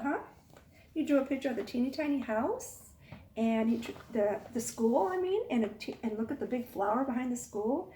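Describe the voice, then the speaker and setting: A woman's voice: a little high, dark tone, a little hiss or hum. A woman teacher hosting storytime for young children, talking in a coaxing sing-song way and asking them questions. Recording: roomy echo, distant microphone.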